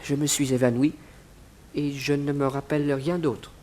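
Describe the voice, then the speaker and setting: A man's voice: medium pitch, flat delivery, moderate pace, darkish tone, close to an everyday voice. An actor playing a humble, formal, deferential male servant and bodyguard in a 1960s French radio serial. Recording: noisy old radio recording.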